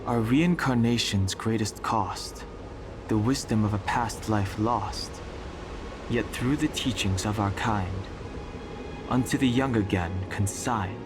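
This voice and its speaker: A man acting a composed, scholarly guardian in a video game, speaking formally and gravely, with music behind him. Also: on the low side, slow. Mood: solemn.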